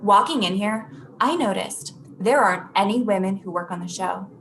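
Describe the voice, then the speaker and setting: A woman's voice: mid-range voice, normal speed, fairly bright tone, natural voice. A woman playing a snide, vindictive show guest in a comedy table read. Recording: faint noise and room ambience.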